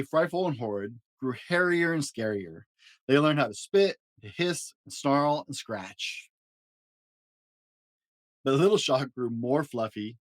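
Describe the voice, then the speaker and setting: A man reading a children's picture book aloud as a storyteller, with an expressive, dramatic delivery. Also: medium pitch, no echo.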